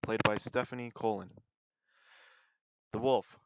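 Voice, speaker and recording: A man's voice: on the low side, steady tone, measured pace. A man announcing cast credits for a radio play in a scripted read, recorded with dull sound. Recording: dull, noisy recording with clicks.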